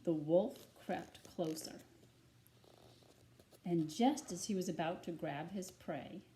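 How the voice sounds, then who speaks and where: A woman reading a children's story aloud in an expressive, deliberate storytelling manner. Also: on the low side, slight background noise. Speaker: storybook reader.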